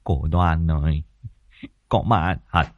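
A man's voice: extremely low pitch, fairly even intonation, dry recording, dull audio, voice pushed down, heavily acted. A man voicing a terrified old village husband who stammers and halts over his words. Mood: fearful pleading. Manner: stammering, halting.

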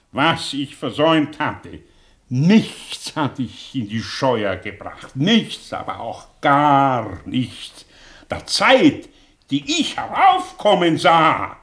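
Slow, high-voiced embittered old scholar. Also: male voice, fairly bright tone, heavily acted. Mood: brooding, bitter. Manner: declamatory, slow, dramatic. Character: embittered ageing male scholar, theatrical, volatile, brooding. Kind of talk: 1960s German radio drama acting.

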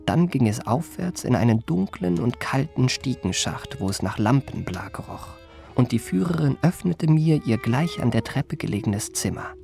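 A young gentleman swindler telling his own story in a literary radio drama. His medium-pitched voice delivers ornate, formal phrases with a mannered, self-satisfied polish. Music plays clearly behind him. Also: big pitch swings, moderate pace. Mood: confiding, suave. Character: elegant young male confidence trickster recounting his life. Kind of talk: literary first-person radio play narration.

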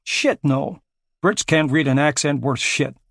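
A man's voice: fairly high pitch, unhurried, gravelly, fairly bright tone, no echo, clean studio sound, full character voice. A man voicing a burly, crude American ex-marine security contractor with an American accent. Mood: brash. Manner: crude, swaggering, profane.

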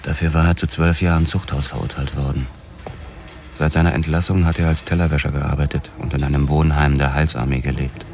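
A hardboiled detective telling his own story. The voice sits extremely low. It is a brooding, weary noir narration made for a radio drama. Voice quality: very deep voice.

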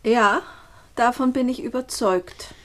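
An actress playing a put-upon, resentful niece in a radio play, speaking with a curt, dryly pointed manner. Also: female voice, on the high side, slow, fairly bright tone. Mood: restrained. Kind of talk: German radio play acting.

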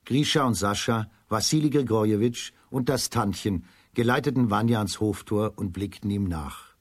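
A man's voice: lowish voice, normal speed, breathy edge, no echo, clean studio sound. A man narrating a children's radio play as a scripted storyteller.

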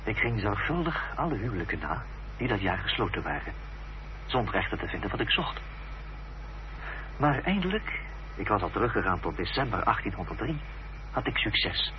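A well-mannered gentleman investigator in an old radio play. The man speaks formally and with care, his low voice moving expressively. The sound is muffled, with a faint hiss. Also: big pitch swings. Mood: measured, intent. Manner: polite, measured, formal. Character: courteous, inquisitive gentleman investigator narrating his own search. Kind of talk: radio drama dialogue and first-person narration.

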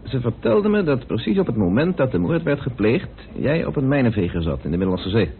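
An actor playing a composed, well-informed British parliamentarian turned sleuth in a Dutch radio play, explaining things in a measured, deliberate way. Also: male voice, mid-range voice, very animated, slight background noise. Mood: calm, revealing. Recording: narrow, phone-like old radio recording.

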